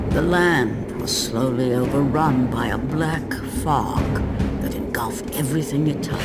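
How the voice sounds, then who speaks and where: A man tells an epic story in a grave, measured voice, pitched in the middle range. Loud music plays under his narration. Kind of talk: scripted narration for a video game.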